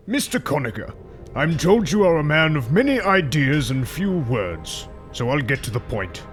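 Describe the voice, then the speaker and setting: A man's voice: a little high, big pitch swings, normal speed. A man voicing a rich, scheming old man obsessed with outliving his brother, in a fan comic dub. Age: older. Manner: pompous, theatrical, erupts into shouting rants. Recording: voice over loud background music. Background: music.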